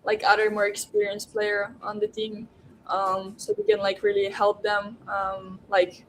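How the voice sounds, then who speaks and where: A woman's voice: medium pitch, monotone, a little slow, a little hiss or hum, poor audio. A young woman with a Slovenian accent, a basketball player guesting on a podcast, talking casually with many "like"s and "um"s. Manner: lots of "like" and "um", thoughtful. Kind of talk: casual interview chat.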